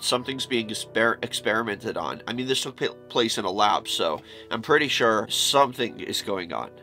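A congested man in his thirties hosts a casual reaction vlog from his desk. His nasal voice is bright and a little sharp, and he rambles with plenty of fillers. Faint music plays underneath. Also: medium pitch. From New York, US. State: congested, stuffy nose. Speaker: YouTube reaction host. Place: small room full of horror collectibles.

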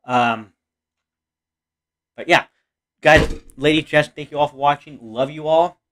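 An American man in his thirties giving casual reaction commentary in a halting, repetitive, earnest way. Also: fairly high pitch, a bit bright, slight background noise. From Oregon, United States. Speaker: reaction video host. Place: small room indoors.

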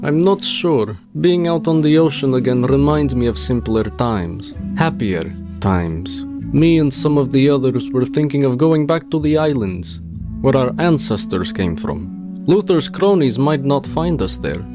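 Man playing an animated young rebel worker. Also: medium pitch, unhurried, natural voice. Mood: reluctant, wistful. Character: gruff, defiant young working-man rebel, big-hearted. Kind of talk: dramatic fantasy fan-dub acting. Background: music.

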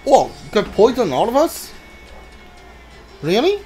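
Halting gamer with a lively, swinging pitch. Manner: halting, thinking aloud. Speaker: video game player. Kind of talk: casual let's play commentary. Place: indoors, voice-over on gameplay. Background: video game music.

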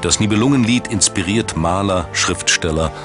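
A man narrating a scripted documentary in a measured, dramatic storytelling manner. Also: deep voice. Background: background music.

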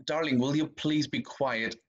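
A husky voice on a muffled video call. It is a young man acting a husband in a play, trying to placate his wife with strained patience. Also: mid-range voice, steady tone, measured pace, close to an everyday voice. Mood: placating, impatient. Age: young adult. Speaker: actor. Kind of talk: acted drama over video call. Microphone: webcam video call.

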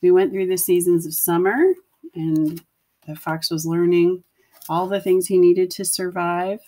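A woman's voice: lowish voice, steady tone, unhurried, warm tone. A woman teacher reading a picture book aloud to children, explaining things simply and putting questions to her listeners.

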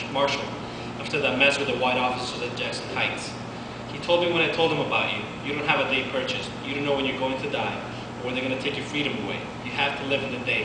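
A young man from Colombia reading his own fiction aloud in a steady, measured way at a bookstore event, heard from a distant camera. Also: mid-range voice. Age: 20s. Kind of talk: literary reading. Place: small bookstore indoors. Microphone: far camera. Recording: distant, echoey, noisy room.

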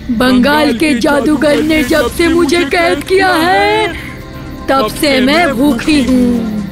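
Slow, high-voiced cackling witch. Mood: menacing, gloating. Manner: slow, drawn-out, cackling delivery. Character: cackling, hungry female forest witch, menacing and gloating. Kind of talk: animated horror story acting. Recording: loud music behind. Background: music.

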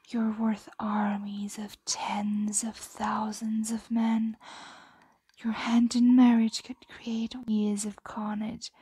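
A woman voicing a possessive, condescending ancient dragon in a breathy, coaxing ASMR roleplay whisper. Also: fairly high pitch, mellow, no echo, exaggerated voice. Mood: covetous.

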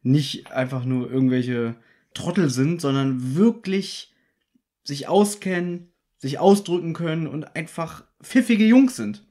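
A man talking casually on a podcast, walking through an old radio play. His voice sits a little high for a man, and he explains things patiently with a teasing edge. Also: lively intonation, slow, warm tone. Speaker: podcast co-host.

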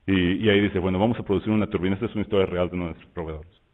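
A man from Mexico with a Mexican Spanish accent, walking through software in a loose, conversational webinar style full of fillers and restarts. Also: low voice, fairly even intonation, very fast. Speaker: software presenter. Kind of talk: webinar product walkthrough. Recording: tinny phone-like line.

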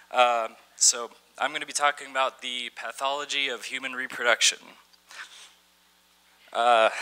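Young man, conference speaker, very bright voice, halting delivery, wry asides, podium mic, large hall. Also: medium pitch, clean tone. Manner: halting, many fillers and restarts, wry asides. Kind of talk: conference talk with some reading aloud. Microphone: podium microphone.

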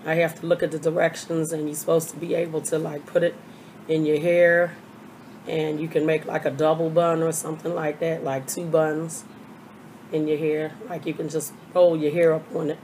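An older woman, hoarse from a cold, chatting casually through a haul video at her webcam with lots of fillers. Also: sits low, flat delivery, moderate pace, darkish tone, a little hiss or hum, low-quality recording. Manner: chatty, rambling with many fillers. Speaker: vlogger. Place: small bedroom. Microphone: webcam at desk.

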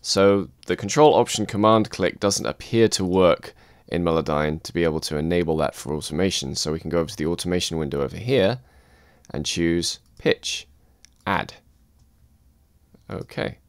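A man calmly walking through a music production software tutorial, step by step. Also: sits low, normal speed, clean tone, dry recording, clean studio sound. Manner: calm step-by-step explaining. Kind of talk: screen-recorded software tutorial.

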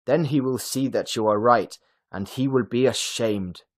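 A man's voice: mid-range voice, a little slow, pitched above the performer's own voice, natural voice. A man voicing a kind-hearted but fussy woman schoolteacher in a storybook, addressing a class emphatically. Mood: indignant. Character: gullible, kind-hearted German woman schoolteacher, fussily indignant.

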